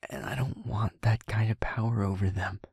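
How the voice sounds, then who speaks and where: A man playing an anxious, tender, protective young vampire boyfriend in an intimate audio roleplay, speaking haltingly and often in a whisper. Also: fairly low pitch, creaky, darkish tone, breathy edge, no echo, exaggerated voice. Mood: pleading, grave.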